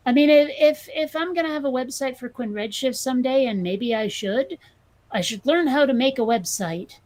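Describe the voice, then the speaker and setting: A woman's voice: high-pitched, normal speed, a little mellow. A woman with a New England accent, co-hosting a casual chat, blunt and sarcastic, swearing freely. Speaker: podcast co-host.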